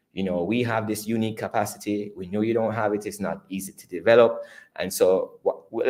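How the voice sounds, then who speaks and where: A man with a Jamaican accent speaking as a company executive on an earnings call, conversational, often repeating and rephrasing his words. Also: low voice. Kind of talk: earnings call presentation and answers.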